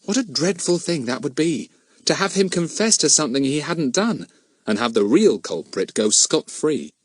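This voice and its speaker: Man narrating a children's story, lively pitch. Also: moderate pace, no echo. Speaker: audiobook narrator.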